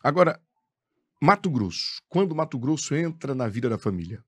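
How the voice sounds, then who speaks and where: A man's voice: fairly high pitch, big pitch swings, normal speed, a bit bright, breathy edge. A Brazilian man hosting a live radio interview. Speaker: radio host. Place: radio studio.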